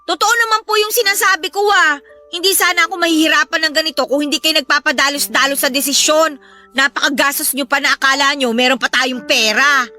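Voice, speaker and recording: Young adult Filipino daughter, aggrieved and self-defending, for radio-style melodrama. High, bright, sharp tone. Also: female voice, steady tone, a little fast, soft background music. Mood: defensive, reproachful. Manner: complaining, self-defending, politely reproachful. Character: put-upon, clumsy, frank young Filipino daughter. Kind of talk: melodramatic Filipino audio drama acting.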